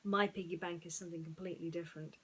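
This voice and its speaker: A woman storyteller talking in a musing, unhurried way, with pauses, as in bedtime reading. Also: lowish voice, fairly even intonation, fairly bright tone, slight background noise.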